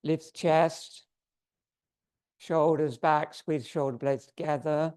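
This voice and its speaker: A man's voice: on the high side, slow pace, clean tone. Man leading a yoga class, voice going. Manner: gives calm, step-by-step posture and breath cues. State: losing his voice. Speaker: yoga teacher. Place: yoga studio.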